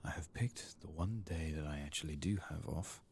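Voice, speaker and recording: Very deep, creaky soft-spoken man. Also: normal speed, slight background noise. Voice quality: soft-spoken, partly whispered. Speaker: host of a gaming ASMR channel. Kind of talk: soft-spoken ASMR game playthrough commentary.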